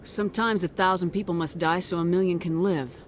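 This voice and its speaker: A woman's voice: fairly low pitch, steady tone, brisk, slight background noise, natural voice. Firm, no-nonsense female commander played by a woman. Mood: cool, probing. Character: firm, no-nonsense female military commander in science-fiction game. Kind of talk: video game dialogue acting.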